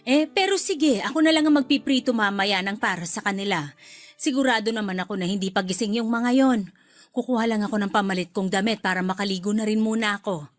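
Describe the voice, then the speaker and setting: A woman's voice: mid-range voice, a little fast, natural voice. A woman playing a fussy, well-off mother-in-law. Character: wealthy chatty mother-in-law, middle-aged woman. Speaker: husband's mother. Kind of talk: radio drama acting.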